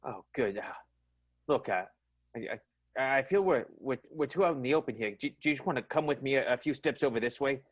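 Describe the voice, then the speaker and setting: A man's voice: medium pitch, lively intonation, brisk, close to an everyday voice. A man playing a flustered, earnest vaudeville theater boss, stammering and hesitant, in an improvised radio play. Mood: awkward.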